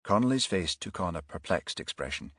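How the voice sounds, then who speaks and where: A man's voice: low-pitched, expressive, brisk, fairly bright tone, husky, no echo, clean studio sound. A man reading scripted crime fiction as an audiobook narrator.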